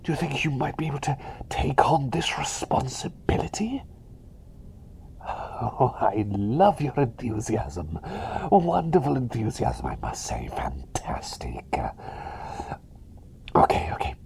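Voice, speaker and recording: A man playing a cheery, eccentric plague doctor in a whispered ASMR roleplay, polite, chatty and hesitant, bumbling along with excitable eagerness. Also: medium pitch, a little slow, vocal fry, long pauses. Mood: earnest, hushed, then delighted, flustered.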